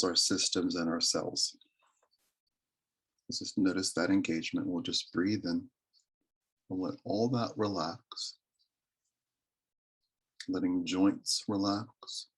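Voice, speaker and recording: A man leading a guided meditation with prayer and affirmations, speaking in a soothing, deliberate, reverent way. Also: sits low, breathy edge. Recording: live recording.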